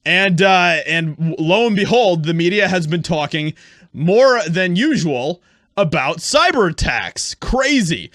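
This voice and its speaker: A male online commentator with a fairly high voice delivers his opinions emphatically. His tone is scornful and pointed, and the recording is close and dry, with very little room sound. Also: expressive, a little slow, a bit bright, no echo. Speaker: YouTube commentator.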